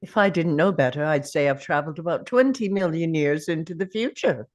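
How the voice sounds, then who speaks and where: Woman reading as a low-voiced, weary, defiant time traveller. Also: a little fast, darkish tone, close to an everyday voice. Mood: musing. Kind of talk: table read of a TV drama script.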